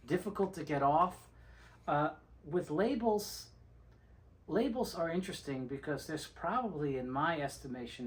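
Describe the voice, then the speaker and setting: A man presenting an informal how-to video, explaining things step by step in a methodical, plain-spoken way. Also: fairly high pitch. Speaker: presenter of a how-to video on restoring tape reels. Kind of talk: informal instructional demonstration.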